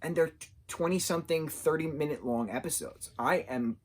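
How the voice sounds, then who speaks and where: A man's voice: fairly high pitch, slightly rough. Rambling thirty-year-old man reviewing TV. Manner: rambling, halting, loses his train of thought. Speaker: TV show reviewer. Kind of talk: casual unscripted review talk.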